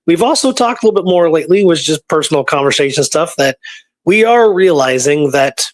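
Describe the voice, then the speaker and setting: A man with a fairly high voice talks casually as a show co-host. He explains things like a storyteller, checking in as he goes, and the sound is very dry and close. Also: fairly quick, no echo. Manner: animated storyteller, often tags points with "right?". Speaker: show co-host and history researcher. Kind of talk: casual chat.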